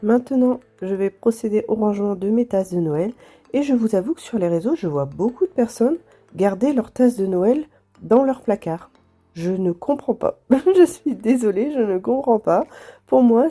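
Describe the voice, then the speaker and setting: A woman with a stuffy nose from a cold, chatting gently to viewers as she tidies her home, with soft music behind. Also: medium pitch. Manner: gentle, chatty, apologetic asides with light laughs. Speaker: home vlogger. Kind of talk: casual vlog narration.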